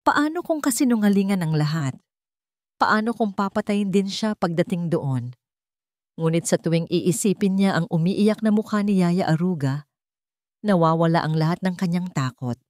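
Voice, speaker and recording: A woman's voice: fairly low pitch, animated, normal speed, a little mellow, no room sound, clean studio sound. A woman reading a scripted dramatic story as its narrator.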